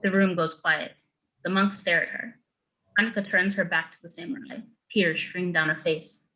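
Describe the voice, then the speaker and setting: A woman narrates a screenplay's stage directions. Her voice sits a little low but has a very bright, forward tone. She reads matter-of-factly in clipped phrases. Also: fairly even intonation, normal speed, dull audio.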